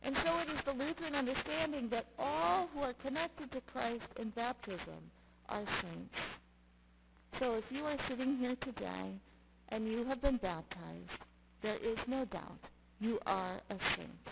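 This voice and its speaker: A middle-aged woman pastor delivering a sermon in measured, emphatic short phrases. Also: fairly high pitch. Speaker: Lutheran pastor. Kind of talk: sermon with scripture reading. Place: church sanctuary, at the pulpit. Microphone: gooseneck pulpit microphone. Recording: clearly noisy church sound recording.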